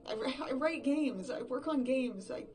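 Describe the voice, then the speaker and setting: A woman acting an exhausted, frightened survivor in a horror audio drama monologue, haltingly thinking aloud and repeating herself. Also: high voice, animated, a little mellow. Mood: frustrated. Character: exhausted, frightened woman game writer, alone after everyone vanished.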